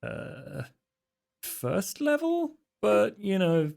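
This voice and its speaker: A man's voice: high voice, huge pitch swings, drawn-out words, gravelly, a little mellow. A man playing a tabletop game, talking casually and hesitantly as he thinks aloud.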